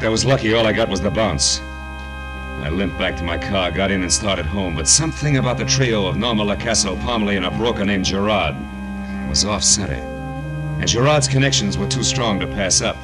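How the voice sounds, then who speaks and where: An actor playing a hard-boiled, wry private detective in 1940s radio noir, terse, tough and dry. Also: male voice, sits low, a little fast, loud music behind the voice, close to an everyday voice. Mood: wry, narrating.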